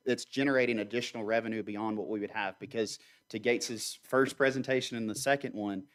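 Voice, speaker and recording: A man making a measured, earnest argument during public comment at a city council meeting. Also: mid-range voice. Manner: earnest, measured argument with deliberate slow stretches. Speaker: community organization leader speaking at council meeting.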